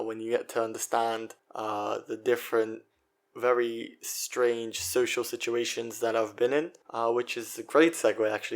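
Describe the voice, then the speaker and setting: A man in his twenties from Israel, hosting a solo podcast in a chatty, self-mocking way with many fillers and restarts. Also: medium pitch. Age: 20s. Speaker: podcast host.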